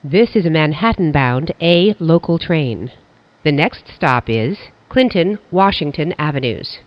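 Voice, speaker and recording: Slow, measured transit announcer. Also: mid-range voice, lively intonation, dry recording, highs cut off. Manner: formal, measured, pieced-together phrasing. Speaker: train announcer.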